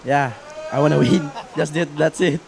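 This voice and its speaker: A young man giving short, halting answers in simple English as an interviewed athlete. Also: a little high, creaky, a little mellow, a little hiss or hum. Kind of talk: brief, simple thank-you interview.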